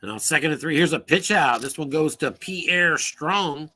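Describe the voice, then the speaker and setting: A man calling solo play-by-play for a tabletop football game, brisk and rising on big plays, losing his voice and coughing now and then. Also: fairly high pitch, expressive, bright, forceful tone.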